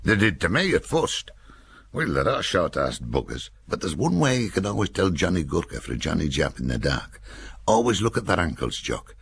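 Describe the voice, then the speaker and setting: A man acts out a blunt Cumbrian infantryman for a war memoir audiobook. He is cocky and grumbling, with a gravelly, husky voice that swings up and down as he talks in thick dialect. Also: deep voice, very animated, moderate pace, a bit bright, voice pushed up, exaggerated voice. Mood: matter-of-fact, knowing. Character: young British soldier Nick, blunt, cocky Cumbrian veteran of jungle war.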